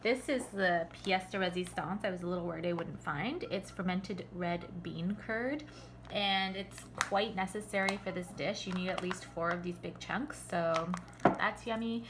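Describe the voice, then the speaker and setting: A woman's voice: fairly low pitch, moderate pace, reverberant. A woman talking casually as an amateur vlogger, walking viewers through a home cooking demonstration. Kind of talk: casual chatty vlog narration and cooking demonstration. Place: on location at shops and a home kitchen. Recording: noisy amateur recording with kitchen clatter.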